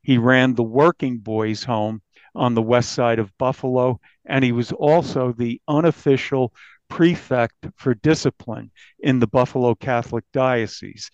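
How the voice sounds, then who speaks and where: An American man with a medium-pitched voice recounts his reporting in conversation. He comes across as an interviewed investigative journalist. The sound is very dry and slightly dull. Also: steady tone, a little slow, no room sound.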